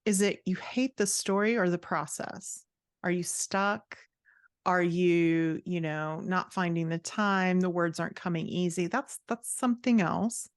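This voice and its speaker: A woman's voice: lowish voice, a little slow, no room sound, studio quality. A woman writing coach talking conversationally as an interview guest, giving blunt, emphatic advice and acting out quotes as she goes.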